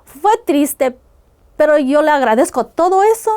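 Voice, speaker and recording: Mujer ecuatoriana de voz algo aguda. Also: female voice, sits high, lively intonation, measured pace, a little mellow. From Ecuador. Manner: earnest, vivid retelling of hard experiences. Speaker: guest. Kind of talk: personal testimony in a podcast interview.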